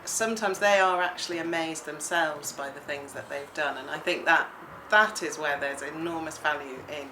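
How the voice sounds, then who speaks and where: Woman coordinator, low voice, earnest, roomy room, music bed. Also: steady tone, normal speed, few pauses, sharp tone, a little hiss or hum. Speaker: project coordinator. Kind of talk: documentary interview.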